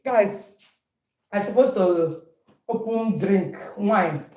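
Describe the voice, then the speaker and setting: Nigerian woman, 40s, relaxed home vlogger; unhurried, repetitive chatter in a roomy space. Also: mid-range voice. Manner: chatty, repeats herself playfully. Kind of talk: casual birthday vlog to viewers. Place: in her home.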